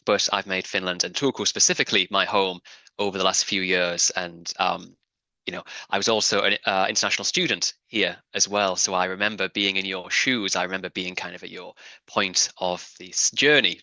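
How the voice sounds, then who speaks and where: A British man gives a friendly webinar talk about helping international residents settle in. His low voice has a very bright, forward tone. The sound is dry and close, with little room around it. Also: no echo, rough recording. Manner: friendly, with filler words like kind of. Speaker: international services staff member. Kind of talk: webinar presentation. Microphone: webinar call mic.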